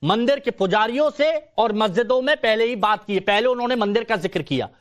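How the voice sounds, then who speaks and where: A man's voice: high voice, lively intonation, fairly quick. A man hosting a heated television panel debate, insistently cutting in and repeating his questions.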